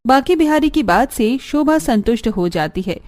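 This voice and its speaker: A woman's voice: high-pitched, animated, normal speed, darkish tone. A woman narrator reading a scripted cartoon family story.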